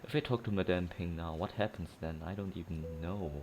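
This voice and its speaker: A man's voice: deep voice, a little fast, darkish tone, slight background noise. A man giving casual let's play commentary, rambling, thinking aloud and correcting himself as he goes. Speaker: gaming streamer. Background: game music.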